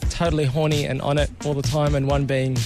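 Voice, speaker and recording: A man's voice: on the high side, flat delivery, fast. A man doing casual street-style interviews, putting questions to people. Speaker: vox pop interviewer. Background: music.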